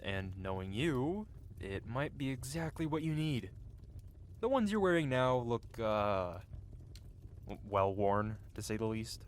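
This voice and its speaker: A man's voice: mid-range voice, sing-song, a little slow, slight background noise. A man playing a cocky, flirty yet tender-hearted medieval blacksmith in an intimate, theatrical ASMR fantasy roleplay. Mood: caring, earnest. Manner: teasing, theatrical, with soft hesitations. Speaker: audio roleplay performer. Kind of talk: ASMR roleplay acting, intimate one-sided dialogue.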